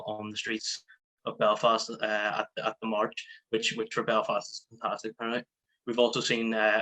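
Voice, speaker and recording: A young man with a low, bright, rather flat voice gives a report to an online meeting, speaking into a computer mic on a video call. He halts often, repeating words and leaning on fillers as he works through his points. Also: rough recording. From Northern Ireland. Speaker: guest speaker. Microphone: home computer mic on a video call.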